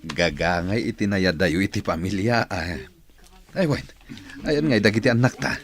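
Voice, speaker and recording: A man's voice: fairly low pitch, normal speed, close to an everyday voice. A man with an Ilocano Filipino accent playing a weary middle-aged father home from abroad in a radio drama. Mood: weary, disappointed. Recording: busy crowd noise behind. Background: crowd bustle.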